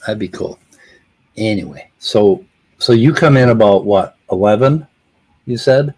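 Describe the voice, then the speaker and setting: Low husky man, dryly sardonic. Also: expressive, unhurried, darkish tone, poor audio. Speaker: host. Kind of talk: casual panel discussion.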